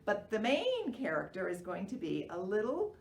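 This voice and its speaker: An American woman presenting a children's storytime in an animated way, explaining simply and asking young children questions. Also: medium pitch, normal speed, vocal fry. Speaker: children's library storytime host. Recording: roomy, distant microphone, faint noise.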